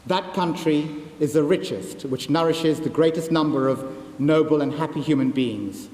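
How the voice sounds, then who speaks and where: A man whose first language is Gujarati, a senior academic delivering a formal, scripted graduation address in measured, oratorical phrases in a large hall. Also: a little high, darkish tone. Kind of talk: formal ceremonial speech, scripted. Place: large ceremonial university hall. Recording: echoey large hall, long reverb.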